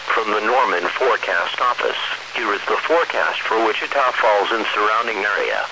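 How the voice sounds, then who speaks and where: An automated American male voice reading a scripted weather bulletin in a measured, impersonal, synthesized way. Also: on the low side, lively intonation. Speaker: weather radio announcer. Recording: noisy weather radio broadcast.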